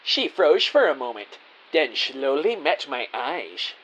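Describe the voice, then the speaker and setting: A man's voice: mid-range voice, sing-song, slow pace, a bit bright, slightly rough, heavily acted, rough recording. A man playing an earnest, naive detective who narrates his own story in a scripted audio drama, with a theatrical delivery. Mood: earnest narrating.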